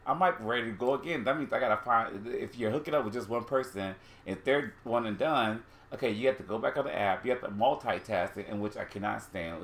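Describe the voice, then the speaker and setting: A man's voice: medium pitch, a bit bright. A man of about forty co-hosting a candid chat, rambling and losing his thread. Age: 40s. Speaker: podcast co-host.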